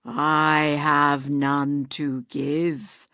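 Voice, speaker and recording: A woman voicing a doomed, chained male ghost who speaks slowly and gravely in a mournful lament. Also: level tone, sharp tone, no echo, studio quality, heavily acted. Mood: solemn. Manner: slow, grave, booming lament. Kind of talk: storybook reading.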